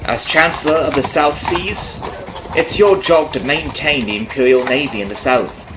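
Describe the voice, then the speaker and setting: A man's voice: on the high side, normal speed, background noise, lightly acted. A man playing a vengeful carriage driver in an ASMR roleplay, taunting and conversational, with a friendly surface over cold menace. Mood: knowing, mocking. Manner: taunting, conversational with rhetorical tag questions. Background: clip-clopping horse and carriage ambience.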